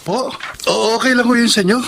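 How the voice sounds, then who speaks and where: An actor playing an agreeable, deferential young fiancé in a Filipino drama, slightly hesitant, with a Filipino accent. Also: male voice, very high-pitched, fairly quick, creaky, a bit bright, slight background noise, heavily acted. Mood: polite, hesitant.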